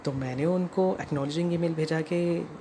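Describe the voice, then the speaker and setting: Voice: mid-range voice, moderate pace. An Indian vlogger explaining a personal customer complaint in narration that mixes in English phrases. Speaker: customer narrating own complaint as video creator. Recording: clear background noise.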